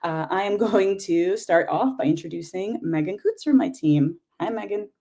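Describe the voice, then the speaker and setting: Informal woman host with mellow voice. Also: on the low side, normal speed. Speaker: event host and panel moderator. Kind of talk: hosting an online panel discussion.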